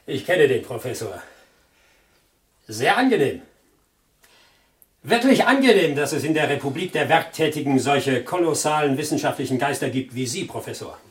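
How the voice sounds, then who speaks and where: An actor playing a zealous Soviet squadron commander in a German radio drama, emphatic and politely insinuating. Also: male voice, medium pitch, animated, normal speed, pauses often, far from the mic, close to an everyday voice. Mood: effusive, probing. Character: suave, menacing Soviet fighter squadron commander, zealous loyalist.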